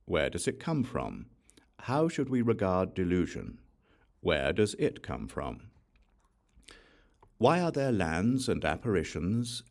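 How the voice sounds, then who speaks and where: A man reading a scripture audiobook, reciting verse in a measured, deliberate and solemn manner. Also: fairly low pitch, gravelly, darkish tone. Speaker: audiobook reader.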